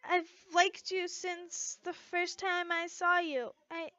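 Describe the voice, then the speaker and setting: A shy, earnest teenage girl, voiced by a woman reading a story, speaks in a very high, slow voice. She falters tenderly between phrases. The recording is a dull, lo-fi one made at home. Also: level tone, dry recording, heavily acted. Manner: hesitant, faltering, soft-hearted.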